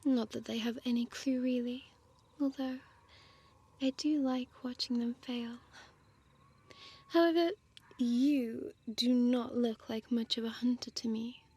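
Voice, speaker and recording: A woman playing a small, deadly female vampire in an intimate audio roleplay, teasing and condescending in formal words, breathy and often dropping to a whisper. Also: a little high, fairly even intonation, a little slow, pauses often, darkish tone. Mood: mocking, teasing.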